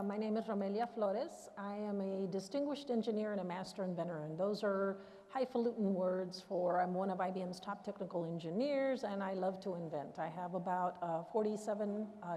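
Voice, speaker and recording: A middle-aged woman from South Texas with a mellow, relaxed voice, introducing herself on a panel. She talks in a chatty, self-deprecating way. The sound carries a long reverb from a large hall. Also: medium pitch. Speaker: panelist, engineer.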